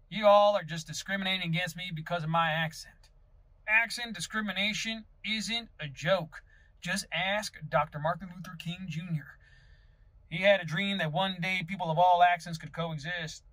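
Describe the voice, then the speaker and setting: Here is a man acting as a folksy Southern candidate with a rather high male voice and a theatrical drawl. He is vain and aggrieved, delivering a pompous mock campaign address in a close, dry sound. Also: fairly bright tone, no echo, natural voice. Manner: theatrical drawl, pompous, dropping into breathy seductive asides. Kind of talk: mock political campaign video monologue. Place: inside a parked car.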